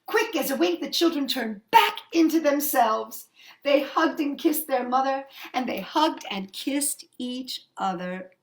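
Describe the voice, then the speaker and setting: A woman's voice: high-pitched, expressive, measured pace, roomy sound. A woman reading a children's story expressively, with dramatic, emphatic phrasing.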